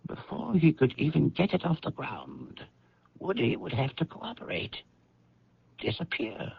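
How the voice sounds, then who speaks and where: An actor playing a firm, probing doctor with a husky, gravelly voice, questioning deliberately in radio drama style. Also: male voice, on the high side, wildly swooping pitch, dry recording, full character voice. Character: probing, firm doctor confronting a troubled woman. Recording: dull old radio recording.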